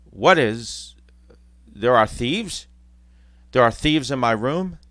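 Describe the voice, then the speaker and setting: A man voicing Scrooge, a bitter old miser, speaking in stern, halting protests. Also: medium pitch, expressive, a bit bright, no room sound, voice pushed up. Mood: dismayed.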